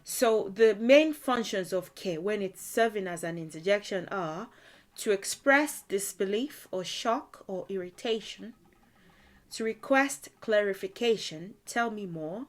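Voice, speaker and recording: A woman's voice: mid-range voice, lively intonation, measured pace. A woman teaching a language lesson, explaining word meanings patiently and thinking aloud as she goes. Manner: thinking aloud with frequent fillers and restarts. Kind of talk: explaining vocabulary in a video lesson.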